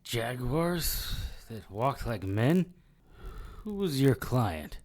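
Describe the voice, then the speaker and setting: Wisecracking noir investigator for audio drama, dry and defiant, pitch jumps around a lot. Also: male voice, sing-song, drawn-out words, darkish tone. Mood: groggy, questioning. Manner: sardonic, dry. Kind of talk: audio drama acting with first-person noir narration.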